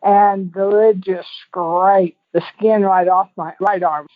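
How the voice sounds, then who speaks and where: A woman's voice: medium pitch, steady tone, takes it slowly, dry recording. A woman calling in as a guest, giving a casual, rambling, folksy personal testimonial over the telephone. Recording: tinny narrow phone line.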